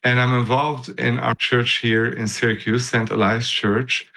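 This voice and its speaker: A man's voice: medium pitch, level tone, a little slow, vocal fry, bright, forceful tone, low-quality recording. A man in his fifties from Lebanon, talking in an interview as a guest, with many fillers and restarts. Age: 50s. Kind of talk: casual interview talk.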